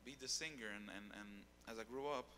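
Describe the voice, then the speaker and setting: Bright-voiced man on a reverberant stage. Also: mid-range voice, quick pace, a little hiss or hum. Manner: rambling, repeats words while searching. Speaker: guest singer. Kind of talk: casual interview on stage. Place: dark stage with keyboard. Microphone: handheld mic.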